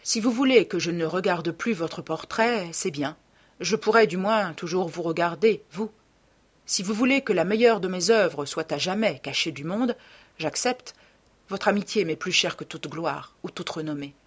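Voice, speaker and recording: A woman's voice: on the low side, normal speed, husky. A woman voicing an affable, devoted male painter in a novel, courteous, earnest and questioning.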